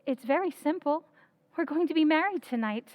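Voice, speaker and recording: A composed, patient elder sister from an old-time radio play. Her high voice stays calm and firm, pleading gently before laying down terms. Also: moderate pace. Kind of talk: 1940s radio acting.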